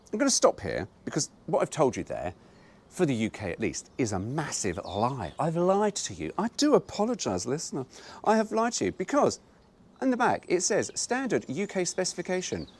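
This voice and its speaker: A man reading an old car brochure aloud, breaking off for wry, mock-indignant asides. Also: medium pitch.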